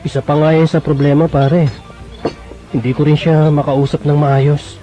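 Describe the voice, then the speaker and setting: Actor as plaintive high-voiced lovelorn husband. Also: male voice, flat delivery, normal speed, dark tone, lightly acted. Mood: hurt, frustrated. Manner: plaintive, hesitant. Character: lovelorn, long-suffering husband confiding in a friend. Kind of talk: Filipino radio drama acting. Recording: dull lo-fi radio recording with music.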